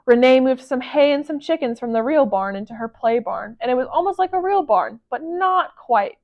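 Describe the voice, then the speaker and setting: High-voiced woman reading a children's story. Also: expressive, moderate pace, muffled recording. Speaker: library storyteller. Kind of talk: children's storybook read-aloud.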